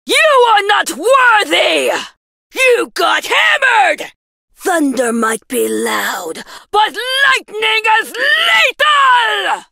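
A woman's voice: extremely high pitch, big pitch swings, extremely slow, gravelly, sharp tone, no room sound, raised voice, studio quality, exaggerated voice. A woman voicing a brash, boastful young thunder goddess for a video game, throwing out fierce, theatrical battle one-liners. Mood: fierce, battle-ready. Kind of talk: video game character voice lines.

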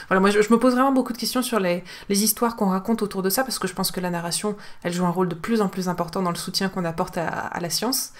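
A woman in her twenties hosts a discussion over a video call from a room at home. She asks long, carefully built questions in a thoughtful way. Her voice sits at a medium pitch. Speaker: host. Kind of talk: casual interview on a video call. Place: room indoors. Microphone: video call, webcam.

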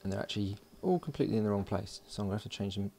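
A man casually talking through hands-on electronics work, unhurried and thinking aloud. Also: lowish voice, lively intonation, vocal fry, noisy background. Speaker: host of an electronics build video. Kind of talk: casual hands-on tutorial narration. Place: indoors at a workbench.

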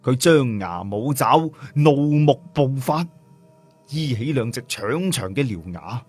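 A man's voice: medium pitch, lively intonation, takes it slowly, darkish tone, faint music behind. A man narrating a story in a dramatic storytelling style. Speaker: audiobook narrator.

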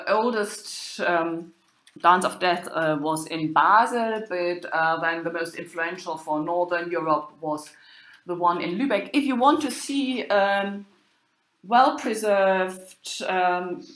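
A woman lecturer giving an unhurried talk, pausing often with ums and uhs. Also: medium pitch, fairly bright tone, echoey room, poor audio. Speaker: university lecturer. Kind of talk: lecture on medieval drama.

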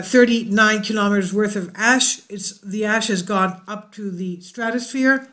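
A woman reads a science news story aloud in a steady voice. Now and then she drifts into unscripted asides, stumbling over fillers and correcting herself before she returns to the text. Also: medium pitch. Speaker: YouTube news host.

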